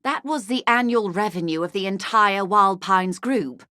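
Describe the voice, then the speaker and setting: A woman's voice: medium pitch, normal speed, pushed and bright, dry recording, clean studio sound, close to an everyday voice. A woman playing a poised, wealthy, shrewd corporate negotiator in a video game, speaking formally and with polite, guarded care. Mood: wry, amused. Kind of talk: video game character dialogue.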